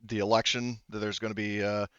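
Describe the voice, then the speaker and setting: A man improvising narration as a tabletop game master, rambling and haltingly correcting himself as he goes. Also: lowish voice, flat delivery, fairly bright tone, no room sound, highs cut off. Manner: rambling, halting, self-correcting, full of "you know".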